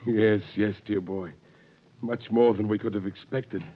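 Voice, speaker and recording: A man's voice: on the low side, steady tone, unhurried, natural voice. An actor playing a tender, frail elderly father in a 1930s radio drama, on a tinny, hissy old recording. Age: older.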